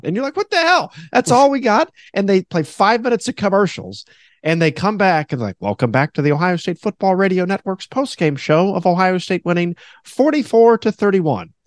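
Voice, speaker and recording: Give me a deep-voiced former radio man chatting on a podcast, lively and expressive, fairly high. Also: dry recording, clean studio sound. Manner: animated, breaks into high mocking impressions of radio announcers. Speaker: podcast host. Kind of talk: casual podcast chat.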